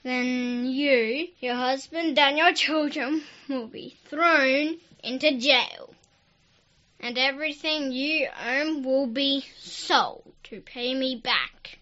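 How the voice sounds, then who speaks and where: Woman acting a slow, high, piercing storybook king. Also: bright, forceful tone, dull audio, full character voice. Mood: stern. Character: stern king passing judgment on servant. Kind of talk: children's Bible story acting.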